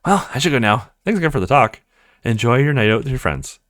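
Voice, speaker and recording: A man reading visual novel dialogue aloud as a let's play streamer, acting out the characters' lines in an animated way. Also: mid-range voice, fast talker, no echo, studio quality.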